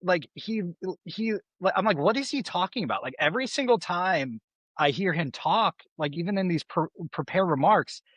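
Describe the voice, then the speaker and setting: A man's voice: high voice, expressive, moderate pace, fairly bright tone, no echo, dull audio. A young man co-hosting a political podcast, rambling and exasperated, with many fillers and restarts. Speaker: podcast co-host. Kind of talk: casual political chat.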